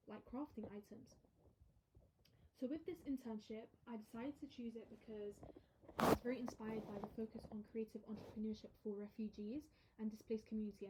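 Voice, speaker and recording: A woman's voice: on the high side, mellow, a little hiss or hum, poor audio. A young woman, a university student, giving an earnest podcast talk about her article with small fillers. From half Iraqi, half Lebanese heritage. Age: young adult. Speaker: student guest presenter. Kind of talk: reading a prepared podcast talk.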